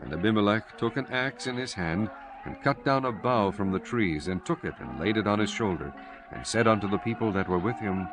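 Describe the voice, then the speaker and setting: A man narrating a dramatized Bible audiobook in measured, solemn storytelling with archaic diction. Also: on the low side, lively intonation. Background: prominent music.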